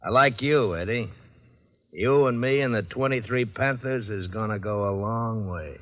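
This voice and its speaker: A man's voice: on the low side, normal speed, a bit bright, lightly acted. Man playing a smug, mockingly genial crime boss. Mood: mocking approval. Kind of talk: 1950s radio crime drama acting.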